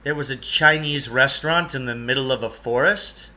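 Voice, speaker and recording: Man playing an inquisitive bright-voiced boy. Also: medium pitch, normal speed. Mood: curious. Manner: questioning, emphatic. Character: inquisitive, direct boy companion in a storybook.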